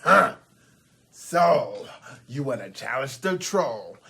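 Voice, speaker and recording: A man acts out a swaggering troll DJ from a folk tale told aloud. He is boastful and menacing, taunting challengers with slow, drawn-out words and big swings in pitch. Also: very animated, gravelly, bright, forceful tone, slightly rough, full character voice. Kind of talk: theatrical storytelling.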